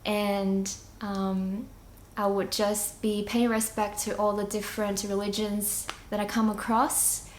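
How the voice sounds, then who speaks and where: A young woman from China and Singapore shares an unscripted personal testimony. She is reflective and halting, often pausing mid-sentence, with a medium-pitched voice. The room is noticeably echoey, with faint noise underneath. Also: little pitch movement. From China, moved to Singapore at nine. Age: young adult. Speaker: church member giving a testimony.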